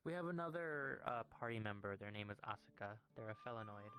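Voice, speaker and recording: A man's voice: on the low side, expressive, fast talker, a bit bright. Man chatting casually in online roleplay. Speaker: roleplayer in an adventuring party. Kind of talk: casual improvised roleplay chat in virtual reality. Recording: background music behind the voice.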